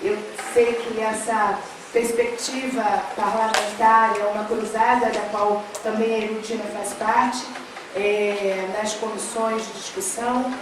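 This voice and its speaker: A woman's voice: on the high side, flat delivery, measured pace, distant mic, background noise. Carioca woman speaking in an echoey hall. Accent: Carioca Portuguese, mixed with São Paulo. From Rio de Janeiro, Brazil. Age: middle-aged. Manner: earnest, many fillers, warms to emphatic. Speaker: education official speaking on a panel. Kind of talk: public forum speech. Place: large hall at a public forum.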